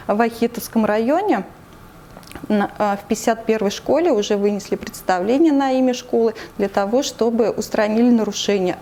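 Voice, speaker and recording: A woman with a Tatarstan Russian accent, answering news interview questions in a measured way with frequent hesitations. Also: a little high, dark tone, a little hiss or hum. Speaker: anti-corruption committee member, interview guest.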